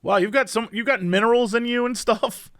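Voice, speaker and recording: Man, podcast co-host, high voice, lively, teasing, dry banter, dry close sound. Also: brisk, dry recording. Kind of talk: casual chat.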